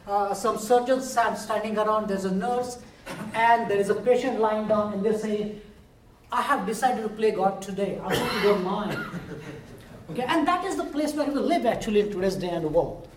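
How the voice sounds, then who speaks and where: A man's voice: high-pitched, normal speed, a little hiss or hum. A man with an Indian accent, a biology professor from India, giving a chatty, self-deprecating university lecture. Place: lecture room. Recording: roomy hall, heard from far.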